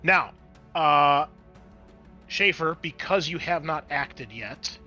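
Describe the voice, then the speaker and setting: A man's voice: a little high, animated, slow, sharp tone, muffled recording, faint music behind. A man speaking casually as game master, explaining rules and narrating play in a tabletop roleplaying game.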